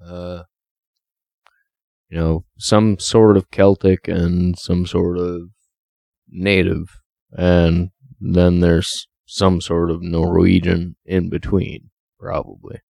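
Man, podcast co-host, deep dark gravelly voice, slow pace, dry close mic. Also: level tone, no echo. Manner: slow, halting, profane, many fillers. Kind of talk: casual podcast chat.

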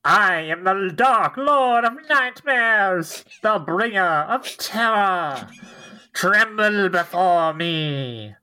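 A man's voice: sits high, animated, pushed and bright, clean tone, heavily acted. A man playing a tiny, pompous fear demon in a script table read, declaiming with grandiose menace. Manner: slow, declamatory.

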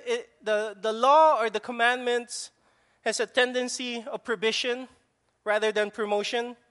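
A man's voice: extremely high pitch, sharp tone. A man with a Filipino accent preaching a sermon, emphatic, often repeating and restarting his words. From Philippines. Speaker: pastor.

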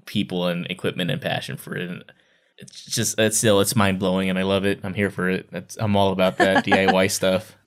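A man's voice: deep voice, level tone, fairly quick. A man co-hosting a podcast, chatting informally about books and repeating himself for emphasis. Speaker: podcast co-host. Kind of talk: casual book discussion chat.

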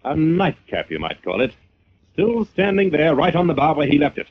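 An actor playing a confident amateur sleuth with a crisp, commanding delivery in 1940s radio drama style. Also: male voice, fairly high pitch, huge pitch swings, normal speed. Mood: assured. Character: brisk, confident male amateur sleuth and crime fighter. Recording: dull, noisy old radio recording.

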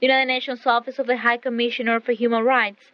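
A woman's voice: on the high side, flat delivery, quick pace, dry recording. A woman reading scripted international news as a radio newsreader. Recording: dull, band-limited shortwave radio audio.

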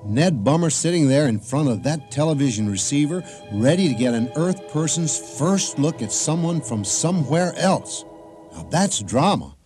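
A man's voice: fairly high pitch, sing-song, moderate pace, darkish tone, soft background music. A man hosting a radio drama in a folksy, conversational storytelling style. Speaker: host.

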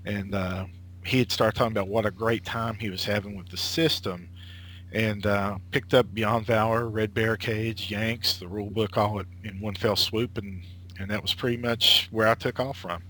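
A man's voice: on the low side, steady tone, moderate pace, sharp tone, slight background noise, rough recording. A man in his late thirties, a guest chatting casually over an internet call, rambling with lots of filler words and restarts. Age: late 30s. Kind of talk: casual conversation.

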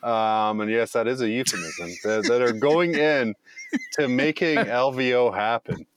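A man's voice: mid-range voice, a little slow, a little hiss or hum. A man hosting a casual chat, joking and reading from chat. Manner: joking, reads chat aloud. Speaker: host.

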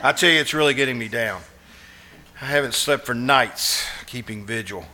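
A man's voice: medium pitch, moderate pace, bright, forceful tone, a little hiss or hum. An actor playing a desperate, sleepless, nervous man who hesitates and stammers in old-time radio suspense style. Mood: weary, nervous. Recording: live stage performance with music.

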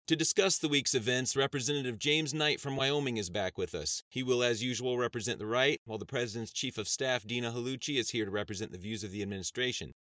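A man's voice: mid-range voice, fast, hardly any pauses, no echo, studio quality, pitched above the performer's own voice, natural voice. A man voicing a female television talk show host with a brassy, announcing delivery in an audiobook. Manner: bright, announcing broadcast delivery.

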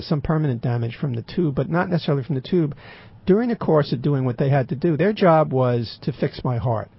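An older man with a raspy voice, telling a rambling personal story with dry, wry humor and many fillers. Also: mid-range voice, highs cut off. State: throat occasionally closing up.

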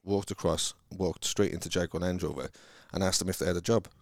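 A man from Wales with a Welsh accent, chatting casually about his sales career in a halting, rambling way, with many restarts. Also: sits low, fairly even intonation, no echo, clean studio sound. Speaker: car salesman guest. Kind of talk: casual conversation.

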